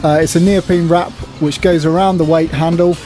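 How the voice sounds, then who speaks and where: This is a middle-aged man giving an instructional voice-over. His voice sits a little high for a man and has a very mellow, rounded tone. He explains things plainly and practically, with some music behind him. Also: steady tone, moderate pace. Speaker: presenter demonstrating gym exercises.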